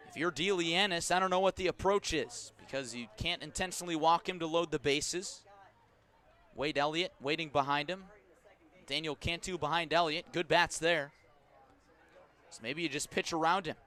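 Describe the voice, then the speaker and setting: Bright, high-pitched male baseball play-by-play announcer. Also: smooth. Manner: measured between pitches, swelling into emphatic calls on each play. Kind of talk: live sports radio commentary.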